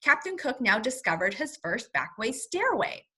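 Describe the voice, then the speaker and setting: Lively, high-voiced woman teacher reading aloud. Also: husky. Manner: expressive storytelling, pauses to explain words simply. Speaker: first grade teacher. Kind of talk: read-aloud lesson for young children with word explanations.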